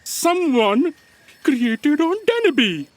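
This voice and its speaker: A slow-talking, pedantic female copy aide, played by a woman in a sci-fi comedy audio drama. The delivery is measured and dry-witted, with pitch that moves around a lot. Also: big pitch swings, full character voice. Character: Copy Aide, pedantic deadpan editorial assistant to Destiny's editor.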